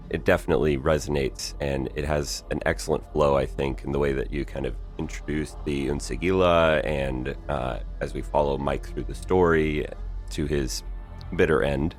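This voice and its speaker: Middle-aged man, extremely low voice, podcast co-host, casual, appreciative, halting phrasing, faint music underneath. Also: fairly even intonation. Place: remote online recording session.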